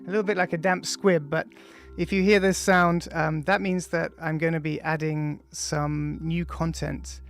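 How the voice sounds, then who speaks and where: Author-narrator, male, casual and halting with lots of ums, rough gravelly tone. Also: fairly high pitch, little pitch movement. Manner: casual, hesitant, many ums between phrases. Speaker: author reading his audiobook. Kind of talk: audiobook introduction, loose spoken then read text. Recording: music playing faintly behind.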